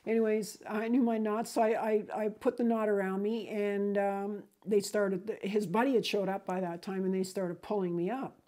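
A woman in her fifties recounts a hiking mishap in a casual, rambling way, often restarting her sentences and brightening when she acts out what people said. Her voice is mellow and soft-edged, picked up by a clip-on mic in a small indoor room. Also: medium pitch, moderate pace, few pauses. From outside the United States. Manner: rambling, self-correcting, animated when quoting people. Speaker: hiking vlogger. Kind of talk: casual storytelling to camera. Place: small room indoors with LED light bar.

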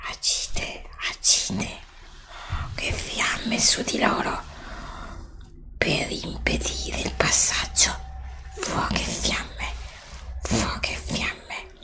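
A scheming witch queen of darkness hisses her plans, as a middle-aged woman puts her on in slow, breathy whispers. The voice sits a little high, rises and falls widely and drags out every word. It is recorded close on a desk condenser mic in a home room. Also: pushed and bright, heavily acted. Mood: incanting. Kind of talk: ASMR roleplay.

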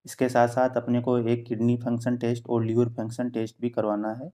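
A man in his thirties, a cardiologist, calmly explaining health tests to camera in a plain indoor room, steadily reading out numbers and ranges. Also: mid-range voice, brisk. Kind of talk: educational health talk to camera. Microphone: camera at close framing, head and shoulders.

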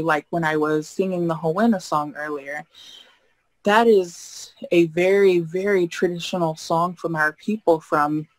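A young woman speaks earnestly over a video call from a room indoors. Her voice stays flat and level, and she reflects aloud with frequent ums. The sound is dry and close. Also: low voice, dry recording, low-quality recording. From United States. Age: young adult. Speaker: keynote speaker. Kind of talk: personal keynote talk. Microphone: webcam mic on a video call.

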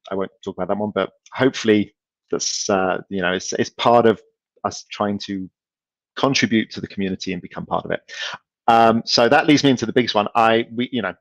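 A middle-aged man fields audience questions over a video call, talking in a relaxed, halting way full of ums and false starts. The audio is dry and a little dull, with no room sound. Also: fairly low pitch, no room sound. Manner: relaxed, hesitant, many ums and restarts, laughs easily. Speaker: company speaker answering audience questions. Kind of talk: casual conference Q&A.

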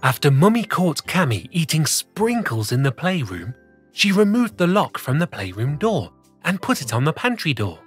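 A children's story host reading a picture book in an expressive, deliberate way for young listeners. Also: medium pitch, soft background music. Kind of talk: animated scripted picture-book narration.

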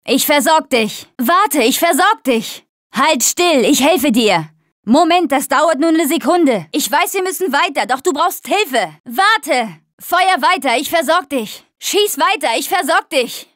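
A woman's voice: high-pitched, expressive, measured pace, fairly bright tone, no room sound, clean studio sound. An actress playing a tough, sarcastic young woman surviving a zombie apocalypse in a dubbed video game. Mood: urgent, caring. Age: young adult.

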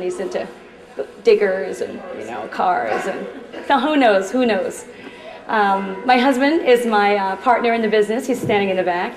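Chatty woman in an echoey store. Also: a little high. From Trinidad. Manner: chatty and upbeat, jokes with the crowd. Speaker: chef giving a cooking demonstration. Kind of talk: live in-store cooking demo to an audience. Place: department store demo kitchen.

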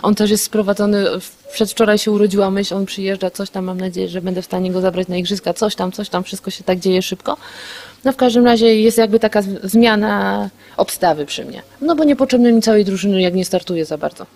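Candid athlete woman, quick restarts. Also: mid-range voice, fairly even intonation, a little mellow. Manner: candid, quick, with many restarts and light laughs. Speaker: athlete being interviewed. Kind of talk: casual post-race interview.

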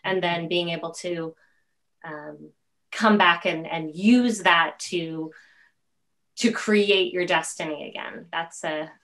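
A woman in her forties hosting a conversation over a video call, choosing her words in a halting, deliberate way. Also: fairly low pitch, fairly even intonation, a bit bright. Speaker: interview host. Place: home room.

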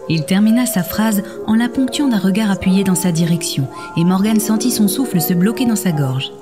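A woman's voice: medium pitch, normal speed. A woman narrator reading scripted fiction in an audio drama. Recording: music under the voice.

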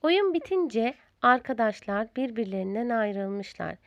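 A woman narrating a children's storybook in a deliberate, expressive storyteller's manner. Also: fairly high pitch, dry recording, lo-fi sound.

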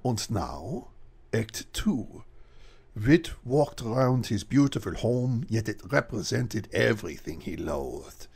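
A man narrating a radio mystery drama in an ominous, measured way. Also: fairly low pitch, big pitch swings, vocal fry, a little mellow, slight background noise.

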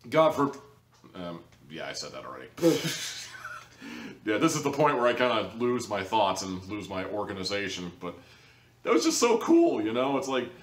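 A man's voice: lowish voice, fairly bright tone. A man in his thirties giving a casual, blunt and sarcastic review to camera, sounding exasperated. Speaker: audio drama reviewer, host. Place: living room, indoors. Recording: echoey room, distant microphone.

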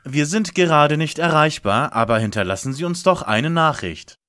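Casting: man as self-assured boy sleuth, higher voice with animated pitch, audio-drama parody. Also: moderate pace. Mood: friendly. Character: confident teenage boy detective leader.

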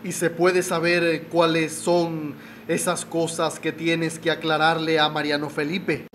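A man's voice: a little high, completely flat delivery, measured pace, fairly bright tone, slight background noise. A man playing a proud, stern middle-aged magnate in a melodramatic radio soap. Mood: guarded, offended. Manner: indignant, melodramatic. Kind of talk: radio soap opera acting.

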